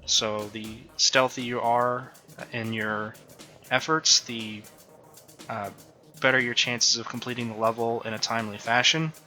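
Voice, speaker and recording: A man's voice: mid-range voice, flat delivery, unhurried, pushed and bright. A man developing his own game, talking casually and thinking aloud with frequent ums and uhs as he shows it off. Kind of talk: casual devlog walkthrough. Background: faint background music.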